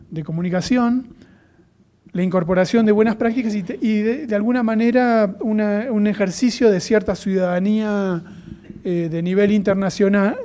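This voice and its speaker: A man from Argentina with an Argentine accent, giving a thoughtful academic lecture on education policy, pausing often with fillers and restarting phrases. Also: high voice, mellow. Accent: Argentine Spanish.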